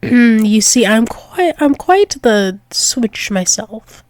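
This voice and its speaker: A woman's voice: fairly high pitch, big pitch swings, takes it slowly, a little mellow, dry recording, clean studio sound. A woman voicing a doting, dominant dragon-wolf demoness lover in an intimate ASMR roleplay, teasing with pet names and dropping into whispers. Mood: teasing, tender.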